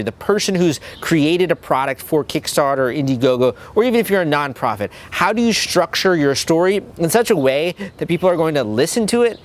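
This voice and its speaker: A young man hosts his own channel, explaining ideas in a loose, conversational flow. His voice sits a little high for a man, and he keeps an upbeat, chatty tone throughout. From El Salvador, grew up in the US. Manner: upbeat, conversational, lots of "like" and "you know". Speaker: crowdfunding coach and channel host. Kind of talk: casual talking-to-camera advice video.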